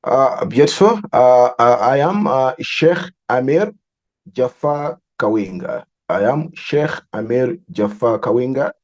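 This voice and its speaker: A middle-aged man with a Malawian accent, explaining his work in an interview in a deliberate way, repeating phrases for emphasis. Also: medium pitch, lively intonation, darkish tone, lo-fi sound. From southern Malawi. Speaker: religious leader and mediator interviewee.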